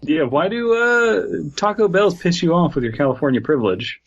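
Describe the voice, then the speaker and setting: A man's voice: a little high, expressive, normal speed, vocal fry, highs cut off. A man co-hosting a podcast in casual, conversational talk. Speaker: podcast host. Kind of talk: casual chat.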